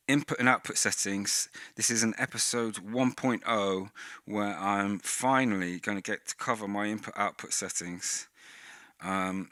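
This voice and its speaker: A man talks through his gear in a relaxed tutorial, his lowish voice bright and forward. He sits close to a vocal mic with a pop screen, at times right against it. The sound is dry and close. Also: no echo. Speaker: host. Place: indoor room, dim light. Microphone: vocal mic with pop screen through mixer.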